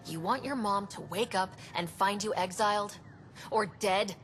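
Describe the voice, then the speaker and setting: An actress playing a young woman explorer leader in a video game, earnest, steady and diplomatic in a measured way. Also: medium pitch, a little hiss or hum, natural voice. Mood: earnest, firm. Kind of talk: video game cutscene acting.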